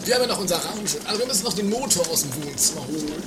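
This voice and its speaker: A man's voice: high voice, lively intonation, racing, far from the mic, very noisy. A man playing a grumpy, whiny, insulting fellow in a trashy comedy radio play, grumbling and stammering.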